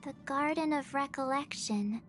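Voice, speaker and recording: Very high, perky young girl adventurer. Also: normal speed, fairly bright tone, faint music behind. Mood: puzzled. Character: March, perky stubborn young girl adventurer seeking her past. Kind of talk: English video game voice acting.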